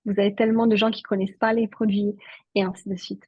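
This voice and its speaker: A woman's voice: medium pitch, flat delivery, fast, darkish tone, slightly rough, no echo, dull audio. A woman from Switzerland whose first language is Italian, speaking as an interview guest in a chatty way, with many filler words. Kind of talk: casual interview talk.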